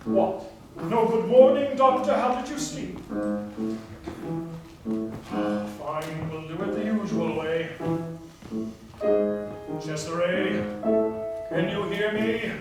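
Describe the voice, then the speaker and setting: A man's voice: high-pitched, lively intonation, slow pace, pauses often. A man playing a sly, grandiloquent old carnival doctor in a stage musical comedy, with flowery wording. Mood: coaxing, commanding. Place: live staged reading before audience. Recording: echoey stage, distant mic, faint music.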